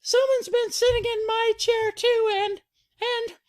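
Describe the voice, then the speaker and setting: A woman voicing an excitable, whiny little baby bear in a children's story, squealing and repeating words. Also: very high-pitched, measured pace, voice pushed up. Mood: wailing.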